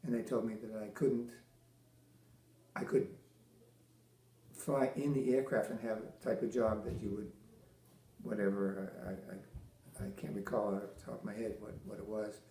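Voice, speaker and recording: Older man slowly recalling, echoey room. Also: fairly low pitch, fairly even intonation, dark tone. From Massachusetts. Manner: halting, many restarts and fillers, dry teasing humor. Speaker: veteran interviewee. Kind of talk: oral history interview.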